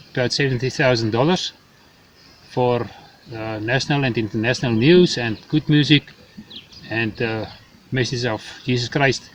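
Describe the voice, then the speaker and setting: A farmer from Namibia speaks plainly and matter-of-factly at a middle pitch. He is somewhat far from the mic, and faint noise is audible behind him. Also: a bit bright.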